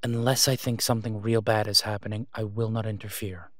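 A man playing an exasperated, protective vigilante in a phone-call audio roleplay, speaking urgently and emphatically, with pleading pauses. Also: on the low side, level tone, no echo, lightly acted. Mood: worried, pleading. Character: exasperated, protective masked male vigilante on patrol.

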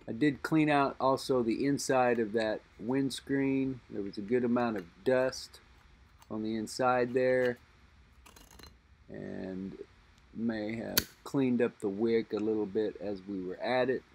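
A middle-aged man speaks at an unhurried, deliberate pace, thinking aloud about an old lighter in his hand. His voice sits at an ordinary middle pitch. He pauses and backtracks as he weighs what he sees. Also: a little mellow, a little hiss or hum. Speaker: lighter collector and reviewer. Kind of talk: unscripted show-and-tell narration.

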